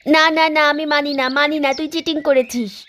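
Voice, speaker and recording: A woman's voice: high-pitched, steady tone, moderate pace, pushed and bright, a little hiss or hum. A woman voicing a stubborn village girl who argues and scolds during a game. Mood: defiant. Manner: scolding, argumentative.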